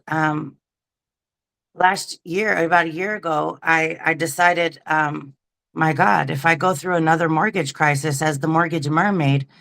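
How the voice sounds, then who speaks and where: A woman in her forties talks very slowly, her voice full of gravelly fry. She tells her own story candidly, halting often with ums and restarts. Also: sits low, a bit bright. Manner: slow, halting, candid, many fillers. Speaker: interview guest. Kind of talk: personal story in an interview.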